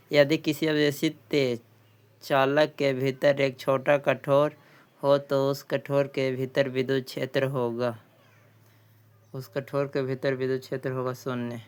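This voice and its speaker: Even-toned male tutor with a clear voice. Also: mid-range voice. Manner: halting, repeats phrases and answers. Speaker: exam-prep tutor. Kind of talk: quiz lesson, reading questions and answers aloud.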